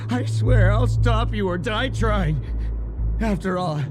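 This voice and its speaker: A man playing an excitable, stammering guard in a video game, with theatrical delivery. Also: high-pitched, slow. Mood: despondent, pleading. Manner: gushing, stammering, breaking into giggles. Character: overexcited, giddy Bloodhound guard drunk on delight. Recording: music behind the voice. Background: game music.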